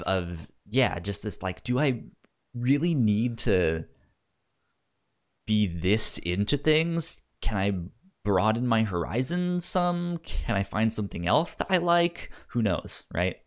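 A man co-hosting a casual film discussion podcast, speaking haltingly and often stammering over and repeating words. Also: lowish voice, lively intonation. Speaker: podcast host.

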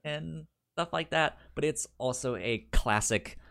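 A man co-hosting a podcast in casual, unscripted chat, with a stutter that repeats first syllables and a halting, explanatory way of talking. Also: fairly high pitch, creaky, fairly bright tone. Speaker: podcast co-host.

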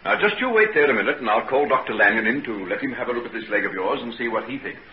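An actor playing a kindly, earnest doctor with a gentle, reassuring manner, in 1940s radio drama style. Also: male voice, mid-range voice, animated, fast, natural voice. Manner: gentle, reassuring, solemnly determined. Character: earnest, kindly male doctor soothing a child patient. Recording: muffled, noisy 1940s radio recording.

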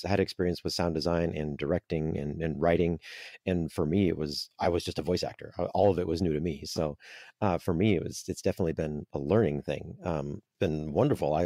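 Deep voiced guy talking fast, voice actor chatting about his work, dry close sound. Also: gravelly, a little mellow, no echo. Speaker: audio drama producer and voice actor. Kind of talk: casual interview chat.

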